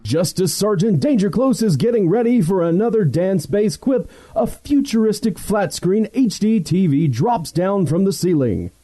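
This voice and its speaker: A man's voice: high voice, expressive, normal speed, dark tone. A man playing a grandiose, melodramatic announcer who narrates a parody old-time radio adventure serial. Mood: dramatic. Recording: music behind the voice.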